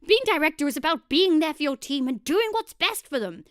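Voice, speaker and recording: A woman's voice: high voice, sing-song, a little fast, dry recording, clean studio sound, pitched above the performer's own voice. A woman voicing an earnest, anxious penguin agent in a game story, caring, apologetic and encouraging. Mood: earnest, concerned. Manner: stammering, encouraging.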